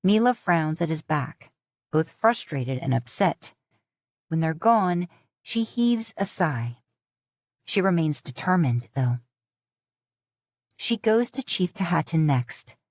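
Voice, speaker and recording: Woman, audiobook narrator, low voice, calm, dry sound. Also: takes it slowly, pauses often, no room sound. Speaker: podfic narrator.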